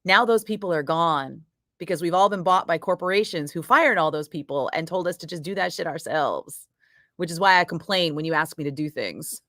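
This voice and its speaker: A woman's voice: fairly low pitch, expressive, brisk, fairly bright tone, no room sound. Radio dj lady just chatting, swears a lot, super dry close sound. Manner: chatty, profane, laughs often. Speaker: radio DJ live-streaming between breaks. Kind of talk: casual chat with viewers. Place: radio studio.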